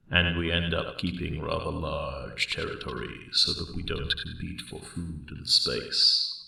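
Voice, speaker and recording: Cavernous, gravelly ancient dragon. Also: male voice, sharp tone, full character voice. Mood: reflective. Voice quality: very deep, gravelly growl. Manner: rambling, genteel, old-fashioned phrasing. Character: centuries-old huge male dragon, friendly, courteous, wry guardian. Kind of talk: ASMR character roleplay monologue. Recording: roomy cave-like echo, distant.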